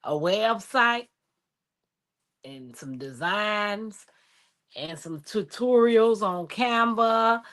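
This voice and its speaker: Bright-voiced woman in her forties. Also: medium pitch. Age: 40s. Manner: playful, laughing, Southern-style slang. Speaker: livestream host. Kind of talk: casual livestream chat.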